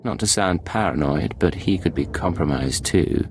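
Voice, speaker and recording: An actor playing a composed, guarded male spy in a video game, with gravelly, clipped, deadpan delivery. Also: sits low, normal speed, slight background noise. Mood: dry, confiding. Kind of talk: video game cutscene acting.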